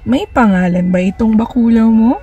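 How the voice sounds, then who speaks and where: A woman's voice: on the high side, normal speed, warm tone, distant mic, dull audio, soft background music, lightly acted. A woman playing a doting, inquisitive mother talking to her small child in a storybook. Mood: curious. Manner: inquisitive, indulgent.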